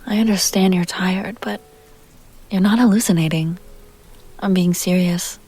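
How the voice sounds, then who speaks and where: A woman playing a seductive, refined vampire heiress in an intimate roleplay audio drama, speaking softly in deliberate, genteel phrases with breathy, teasing asides that often drop to a whisper. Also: on the low side. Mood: seductive, direct.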